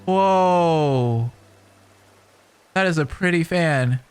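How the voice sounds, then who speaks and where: A woman doing casual game reaction commentary and reading dialogue aloud, playful and expressive. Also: low-pitched, extremely slow. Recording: game music behind the voice.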